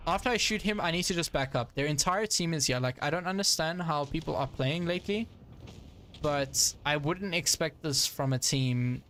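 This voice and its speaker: A man's voice: a little high, fairly bright tone, slight background noise. A young man giving casual live commentary while playing a tank game, chatty with frequent fillers and frustrated asides. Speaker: gaming streamer.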